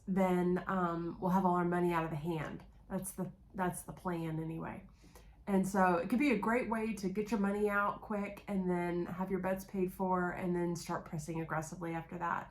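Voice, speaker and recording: A woman's voice: lowish voice, a little hiss or hum. A woman introducing a video in a chatty way with many fillers, getting over a cold. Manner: casual, filler-heavy, chatty. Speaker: craps channel host.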